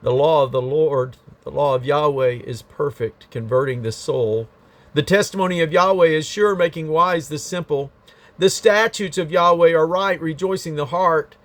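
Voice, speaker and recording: A man's voice: on the high side, normal speed. A man reading scripture aloud in a solemn, emphatic, declamatory way, stressing his phrases. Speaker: Bible reader.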